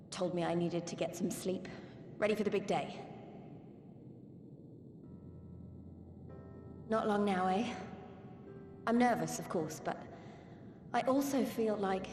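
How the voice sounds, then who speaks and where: An actress playing an earnest young fighter in a video game, confiding in an informal, hesitant way. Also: female voice, mid-range voice, darkish tone, close to an everyday voice. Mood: nervous, awkward. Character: earnest young female fighter, nervous yet determined. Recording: roomy, long reverb, faint music.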